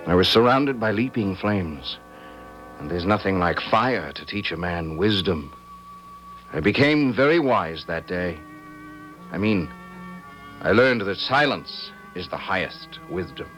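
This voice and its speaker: A man's voice: sits low, expressive, a little slow, a bit bright, soft background music, poor audio. A man narrating as a meek, self-mocking young man. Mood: wry. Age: young adult. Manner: self-mocking, hesitant, wry first-person telling. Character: meek, cheerful young man, priest's son. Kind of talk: first-person storytelling in radio drama.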